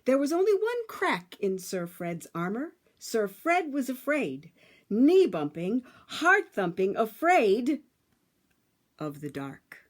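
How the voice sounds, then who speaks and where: A woman reading a picture book aloud to children, with dramatic, emphatic phrasing and drawn-out words. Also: fairly high pitch, very animated.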